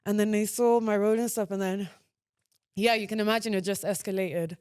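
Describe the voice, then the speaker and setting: A woman's voice: mid-range voice, brisk, clean tone, dry recording. Laughing young woman, dry close sound. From Nigeria. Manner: laughs often, relaxed storytelling. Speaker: podcast guest. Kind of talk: casual podcast chat.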